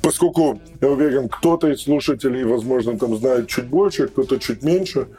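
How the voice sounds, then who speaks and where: A male podcast guest talks in a mellow, mid-pitched voice that is a bit strained. His answers are reflective and roundabout, full of hesitations and qualifications, over faint music. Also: moderate pace, breathy edge. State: voice strained after losing it the day before. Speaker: podcast guest, professional trainer. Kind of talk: reflective interview talk.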